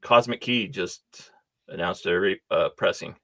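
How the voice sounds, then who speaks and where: Low-voiced man, unhurried, hesitant podcast talk with a dry, close recording. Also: sharp tone, no echo. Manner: laid-back, hesitant, with many ums. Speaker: podcast guest. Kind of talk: casual podcast chat.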